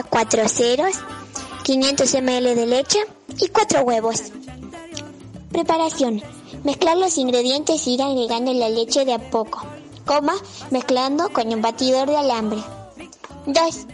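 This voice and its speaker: A woman's voice: high-pitched, a little mellow. A woman with an Argentine accent reading instructions aloud to children on a radio show, stumbling now and then.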